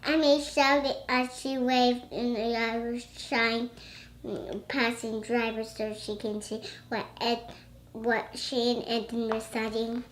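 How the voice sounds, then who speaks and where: A young child reading a storybook aloud haltingly, breaking into excited chatter. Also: medium pitch, slight background noise.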